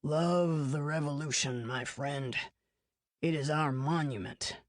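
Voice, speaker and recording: A woman voicing a big dying male killer who talks in a slow, low, pompous way. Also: no echo, studio quality. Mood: grandiose.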